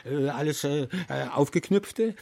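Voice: medium pitch, measured pace, vocal fry, darkish tone, no room sound. Eine Stimme spielt in einem absurden Hörspiel eine verdatterte, zögernde Figur, die stammelnd Unsinnswörter hervorbringt. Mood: flustered. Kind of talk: experimental absurdist German radio play, sound-poetry recitation.

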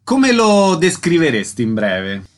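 A man's voice: fairly high pitch, huge pitch swings, unhurried. A man hosting a radio programme, presenting and putting questions to guests. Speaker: radio host interviewer.

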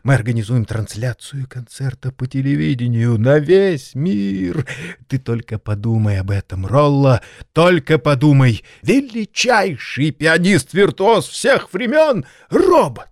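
An actor playing an excitable, sentimental elderly maestro pianist in an audio drama, theatrical and halting. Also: male voice, mid-range voice, no room sound, studio quality. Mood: exalted, excited.

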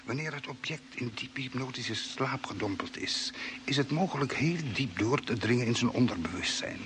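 A man acting a grave, formal stranded space traveller who explains things deliberately in a vintage Dutch radio play, on a noisy old recording. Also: medium pitch, expressive, close to an everyday voice. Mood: grave, explaining. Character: grave stranded Earth man, knowing explainer of Martian mind control.

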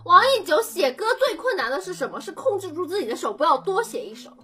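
A woman's voice: very high-pitched, very animated, fast, bright, forceful tone. A woman in casual banter, teasing and blunt with her friend.

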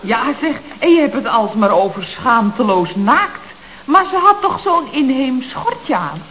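A woman's voice: a little high, sing-song, unhurried, highs cut off, a crowd in the background. An actress playing an astonished, inquisitive female office colleague in a radio comedy serial. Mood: astonished, curious.

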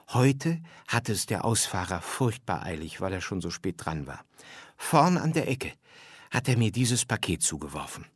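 A man's voice: lowish voice, husky, no room sound, studio quality. A man voicing a big talking hare who helps deliver Easter eggs, matter-of-fact and insistent, in a storybook reading.

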